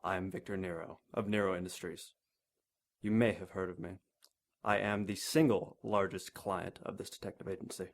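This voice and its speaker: A man's voice: deep voice, steady tone, moderate pace. A man playing an arrogant, wealthy older industrialist in an audio drama, clipped and imperious. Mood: haughty. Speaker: client.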